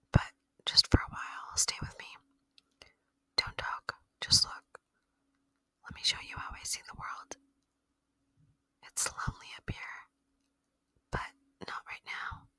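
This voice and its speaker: A woman voicing a gentle, lonely, curious alien in a soft, wistful, intimate ASMR roleplay whisper. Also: slow pace, long pauses, no room sound. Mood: tender, reassuring.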